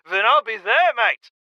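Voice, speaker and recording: An actor playing a brash, foul-mouthed champion fighter in an audio drama, loud, cocky and blunt. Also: male voice, very high voice, no room sound, highs cut off, full character voice. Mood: amused, brash.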